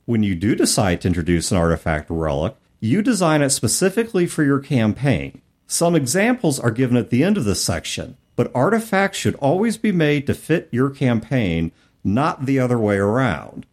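Lively, animated man on a podcast. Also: mid-range voice, normal speed. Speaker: podcast co-host. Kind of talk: casual discussion, reading rulebook passages aloud.

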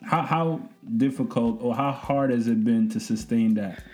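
A man hosting a casual interview, relaxed and conversational, with frequent hesitations. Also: medium pitch, unhurried, faint music behind, low-quality recording. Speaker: interview host.

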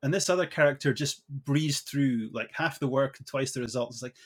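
A man's voice: mid-range voice, a little fast, husky. A middle-aged Scottish man speaks as an interview guest. His answers come out thoughtfully, with restarts and filler words as he works through his ideas. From Scotland.

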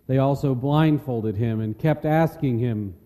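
A man narrating scripture in a measured, solemn way as part of a dramatic reading. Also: mid-range voice, dark tone. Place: large reverberant church. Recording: long church hall reverb.

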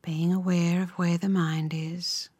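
Female meditation guide, lowish voice, very unhurried and calm, softening into breath at phrase ends. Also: breathy edge. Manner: calm, measured, instructive.

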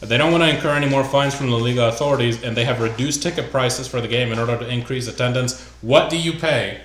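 A man's voice: mid-range voice, quick pace, fairly bright tone, reverberant, slight background noise. A man hosting a casual sports podcast, reading news items aloud with loose asides. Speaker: podcast host.

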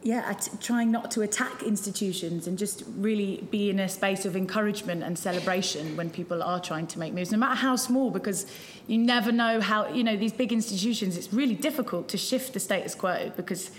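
A woman's voice: medium pitch, a little fast, few pauses. Hesitant woman speaking in a roomy hall. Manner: hesitant, many restarts and ums. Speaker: campaign speaker. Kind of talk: hesitant speech to a live audience. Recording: roomy hall with long reverb, faint noise.

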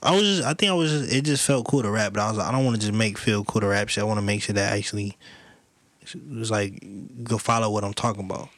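A deep-voiced man talking easily with a friend, relaxed and drawling. The sound is dry and close, with no room around it. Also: quick pace, no room sound. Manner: relaxed, drawling slang, says bro a lot. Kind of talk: casual chat.

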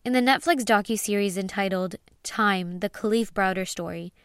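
Smooth-voiced woman presenting a review. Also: medium pitch, moderate pace, dry recording, studio quality. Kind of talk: scripted review narration.

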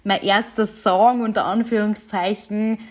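A woman's voice: mid-range voice, fairly even intonation, a little slow. A young woman, a singer-songwriter answering interview questions in a chatty way, with many fillers and frequent laughs.

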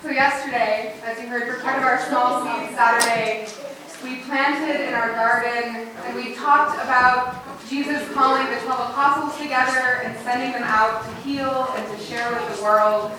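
Woman talking to church congregation, high voice, far from mic, big echo. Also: steady tone, normal speed, a crowd in the background. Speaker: church speaker. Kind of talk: addressing a congregation. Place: large echoey church room. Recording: very echoey hall, distant mic, noisy.

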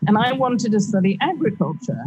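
A middle-aged woman from Kenya, a university teacher, answering interview questions over a video call, thoughtful and halting, with many fillers and frequent laughs. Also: mid-range voice, big pitch swings, mellow, husky, no echo, poor audio.

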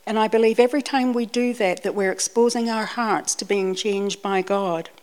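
A woman's voice: medium pitch, normal speed, darkish tone. New woman preaching conversationally. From Scotland, raised in New Zealand. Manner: conversational, repeats words when restarting. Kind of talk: personal sermon with anecdotes.

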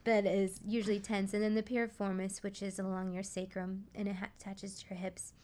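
A woman's voice: mid-range voice, flat delivery, fairly quick, a little mellow. A woman speaking as a guest massage therapist in a casual talk show interview, explaining anatomy in plain, informal words.

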